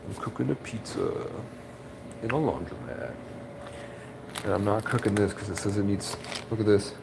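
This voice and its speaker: A man's voice: lowish voice, big pitch swings, normal speed, vocal fry. A man in his thirties vlogging in a rambling, jokey way, slipping into silly voices. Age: 30s. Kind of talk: rambling comedic vlog. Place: laundromat, then his car. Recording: loud background noise.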